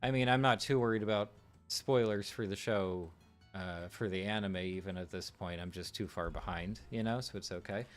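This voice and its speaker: A man's voice: lowish voice, moderate pace, faint music behind. A man streaming live, talking through film technique in a casual, musing way with fillers and restarts.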